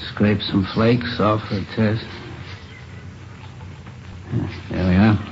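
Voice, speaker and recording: Radio drama crime lab cop, lowish, calm and matter of fact, muffled noisy old tape. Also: male voice, slow pace, vocal fry. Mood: calm, methodical. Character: practical, unhurried male police crime lab lieutenant. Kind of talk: 1950s radio drama acting. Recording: dull, noisy vintage radio recording.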